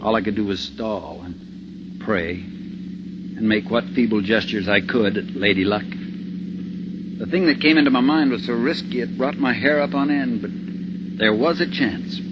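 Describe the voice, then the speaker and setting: An actor in a 1950s radio drama playing a steady, wry middle-aged husband, with a terse, hard-boiled way of telling it. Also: male voice, on the low side, measured pace, long pauses, very noisy, close to an everyday voice. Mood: tense narration. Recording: dull old radio recording.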